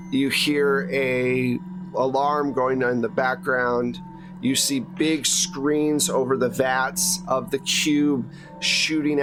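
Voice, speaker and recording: A man's voice: medium pitch, poor audio. A man working as game master, describing scenes for a tabletop horror game in an improvised, conversational way. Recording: music playing behind the voice. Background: music.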